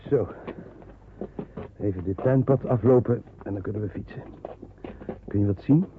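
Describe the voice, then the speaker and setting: A man's voice: fairly low pitch, normal speed, natural voice. An actor playing a reassuring, matter-of-fact policeman who secretly helps people hide in a wartime radio drama. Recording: noisy, phone-like old radio drama recording.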